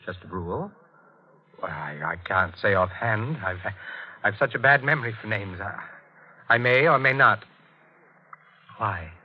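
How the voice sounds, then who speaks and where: An actor playing a nervous, secretive murderer in a 1940s radio mystery, halting and deliberate as he repeats his phrases. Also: fairly low pitch, lively intonation, husky. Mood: evasive. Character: nervous secretive murderer husband narrating his own crime. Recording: dull 1940s radio with loud organ music.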